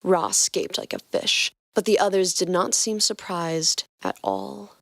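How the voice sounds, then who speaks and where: Low-voiced woman narrating a story. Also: expressive, measured pace, vocal fry, a bit bright, no room sound, studio quality. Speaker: podcast co-host narrating fanfiction.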